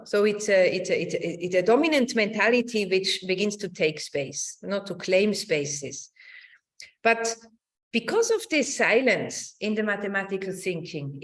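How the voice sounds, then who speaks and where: Searching, animated woman lecturer. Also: medium pitch. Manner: halting, searching for words, often adding a questioning "no?". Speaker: academic lecturer. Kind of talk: semi-improvised academic talk. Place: indoor room, online presentation.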